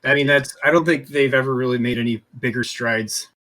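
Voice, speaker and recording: A man's voice: medium pitch, fairly even intonation, brisk, pushed and bright. A man in his thirties talking casually as a podcast co-host. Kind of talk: casual chat.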